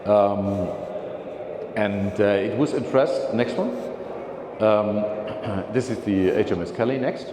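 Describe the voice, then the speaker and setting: Man presenting slides to a society meeting, halting, full of fillers and restarts, somewhat low-pitched, recorded in a big echoey hall. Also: noisy background. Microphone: handheld or lapel mic.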